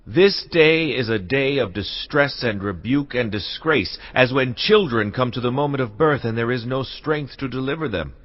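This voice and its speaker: A man's voice: mid-range voice, moderate pace, hardly any pauses, highs cut off, natural voice. A man playing a distressed, solemn royal messenger pleading for help in a dramatised audio Bible. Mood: grave distress. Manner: grave, pleading.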